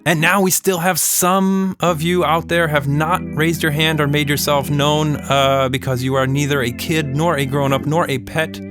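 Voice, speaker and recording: A man hosting a children's podcast and telling stories in a playful, mock-serious, unhurried way. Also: fairly high pitch, fairly even intonation, over music. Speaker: podcast host and storyteller. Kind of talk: children's storytelling, casual and scripted.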